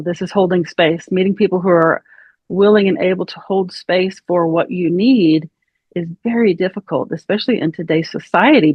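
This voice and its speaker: A woman hosting a podcast who speaks in an earnest, reassuring and measured way. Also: on the low side, dry recording. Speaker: podcast host. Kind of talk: supportive interview talk. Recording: dry, dull lo-fi sound.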